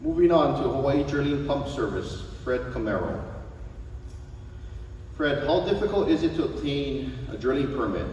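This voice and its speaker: A man's voice: mid-range voice, a little mellow. A man moderating a panel, briefly and courteously thanking speakers and reading out questions. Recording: echoey gym, distant mic, noisy.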